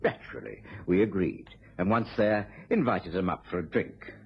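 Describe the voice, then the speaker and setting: An actor playing a genteel English gentleman who narrates a detective tale in a vintage radio drama, in a measured storytelling manner. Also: sits low, lively intonation, a little hiss or hum. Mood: composed narrating. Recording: muffled old radio recording, music behind.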